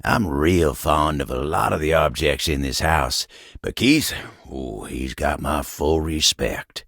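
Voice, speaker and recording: An actor voicing a bashful, folksy, old-fashioned talking table in a video game, with a gravelly voice and an American Southern, Western-style drawl. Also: male voice, rumbling low, takes it slowly, fairly bright tone, dry recording, studio quality, heavily acted. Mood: warm, fond. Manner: folksy, rambling, flustered hesitations. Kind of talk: video game character dialogue.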